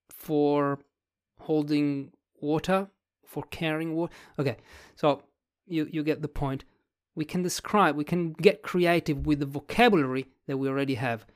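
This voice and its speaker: Writing vlogger, mid pitch, dry close sound, musing and word-searching. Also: clear, resonant tone, dry recording. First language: Italian. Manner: thinks aloud, searching for words. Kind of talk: casual explanatory talk about a book.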